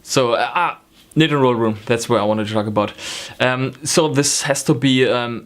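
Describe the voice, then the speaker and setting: A young man from Germany with a German accent, chatting to camera in a rambling way, with many ums and self-corrections, from a small home room. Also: mid-range voice. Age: young adult. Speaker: knitting vlogger. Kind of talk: casual chat to camera. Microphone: camera in front, close framing.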